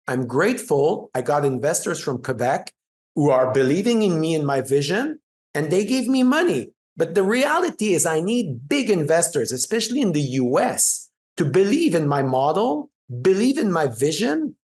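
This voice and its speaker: Lively French-Canadian man, animated delivery. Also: on the high side. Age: middle-aged. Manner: animated, emphatic storytelling with restarts. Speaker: PR agency founder, guest. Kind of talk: conversational interview.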